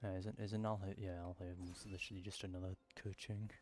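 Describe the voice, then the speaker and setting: A man's voice: low-pitched, level tone, fast, a little mellow. A man giving casual let's-play commentary, rambling and thinking aloud as he plays. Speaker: gaming streamer.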